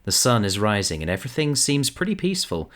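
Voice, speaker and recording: A man's voice: on the low side, big pitch swings, fast talker, fairly bright tone. A man narrating an audio drama in a dramatic, scripted storytelling style.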